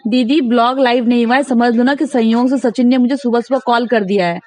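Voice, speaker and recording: A woman's voice: a little high, steady tone, brisk, darkish tone, no room sound. A woman talking casually in a recorded phone conversation, giving advice insistently and repeating herself for emphasis.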